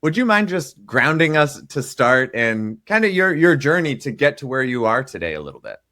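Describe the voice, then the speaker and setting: A man's voice: medium pitch, very animated, normal speed, bright, forceful tone, no echo, clean studio sound. A man hosting a podcast interview, asking questions in a casual, enthusiastic, conversational way. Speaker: podcast host.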